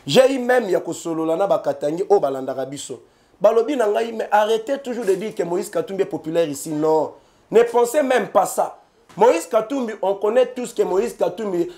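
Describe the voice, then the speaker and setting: A man's voice: high-pitched, a little mellow. A man with a Congolese accent giving emphatic political commentary, repeating names and speaking straight to viewers. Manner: emphatic, repetitive, addresses viewers directly. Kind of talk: animated political commentary in French and Lingala.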